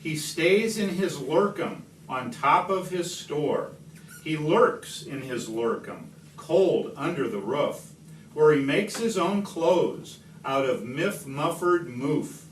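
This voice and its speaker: A man reading a rhyming picture book aloud to children, expressively, with deliberate, dramatic pauses. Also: a little high, expressive. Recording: roomy, distant home video with slight noise.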